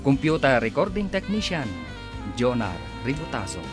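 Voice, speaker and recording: A man announcing a radio drama in an emphatic, scripted delivery with drawn-out phrases. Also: medium pitch, very animated, music in the background.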